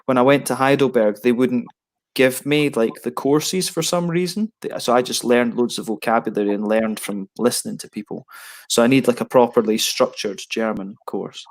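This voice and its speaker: Man, 30s, casual rambling hangout talk over a headset, mid-pitched and relaxed, frequent self-corrections. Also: moderate pace. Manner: rambling, many restarts and fillers. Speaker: beer reviewer on a video hangout. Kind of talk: casual chat.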